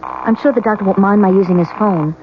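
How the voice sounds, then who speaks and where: An actress playing an anxious, impatient young wife in a radio drama, fretful and halting in her talk. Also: medium pitch, natural voice. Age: young adult. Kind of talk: 1970s radio drama acting. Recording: muffled old radio broadcast, faint hum.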